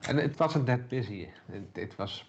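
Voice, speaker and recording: Animated man in casual chat. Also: mid-range voice, moderate pace, muffled recording.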